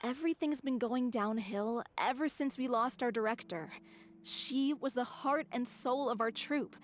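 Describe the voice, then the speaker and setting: A woman voicing a sincere young actress in a theater troupe in a video game, gentle and wistful. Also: on the high side, unhurried, slight background noise, lightly acted. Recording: game soundtrack music behind.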